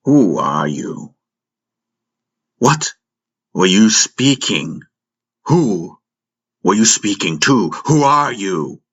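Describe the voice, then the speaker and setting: Man voicing a gruff, suspicious alien guard officer. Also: fairly low pitch, very animated, very slow, long pauses, fairly bright tone, heavily acted. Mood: suspicious, demanding. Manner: barking, interrogating. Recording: music bed under the voice.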